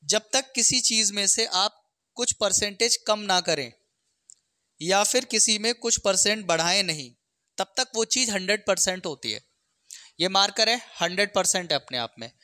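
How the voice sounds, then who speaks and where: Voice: medium pitch, sharp tone, no room sound, studio quality. A maths teacher explains exam problems step by step, often checking in with short questions.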